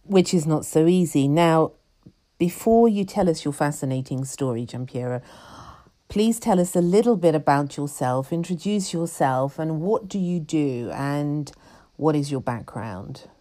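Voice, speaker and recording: A woman's voice: low-pitched, expressive, a little slow, dark tone. A woman hosting an interview show who formally introduces her guest and asks questions. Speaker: host.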